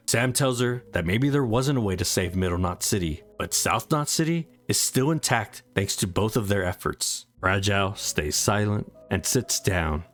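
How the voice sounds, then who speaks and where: A man's voice: low-pitched, a bit bright. A man reading scripted story recap narration.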